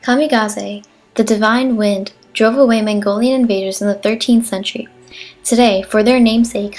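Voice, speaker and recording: A woman's voice: mid-range voice, lightly acted. Woman as a formal wartime newsreel narrator over music. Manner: formal, declarative, reading from a script. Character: patriotic wartime Japanese newsreel narrator, earnest propagandist. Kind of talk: scripted newsreel narration, read aloud. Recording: music behind the voice.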